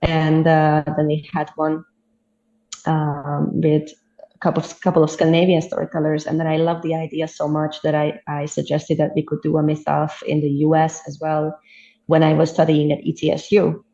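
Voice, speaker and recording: A woman, a storyteller chatting in an interview, thoughtful and quick to laugh. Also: deep voice, completely flat delivery, mellow. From Hungary. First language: Hungarian. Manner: thoughtful, easy laughs, restarts mid-sentence. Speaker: storyteller guest. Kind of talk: casual interview chat. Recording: dull roomy sound.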